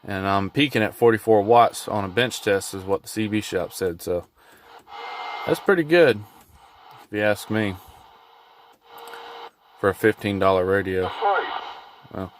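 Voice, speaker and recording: A man talks casually through a hands-on demonstration. His delivery is folksy and laid-back, halting as he goes, like an unscripted hobbyist vlog. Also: lowish voice, a little hiss or hum. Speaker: hobbyist vlogger showing his truck radio install. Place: in and around a pickup truck in a driveway.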